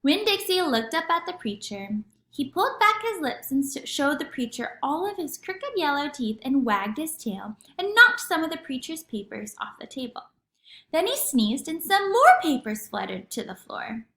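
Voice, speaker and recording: A woman reading a children's story aloud in an expressive, teacherly way, acting out the dialogue. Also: high voice, normal speed, echoey room. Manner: animated, acts out dialogue.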